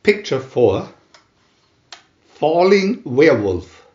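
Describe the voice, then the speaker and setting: A man reading a comic adventure booklet aloud as a dramatic narrator, announcing each part with theatrical pauses. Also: on the high side, sing-song, a little mellow, echoey room, highs cut off.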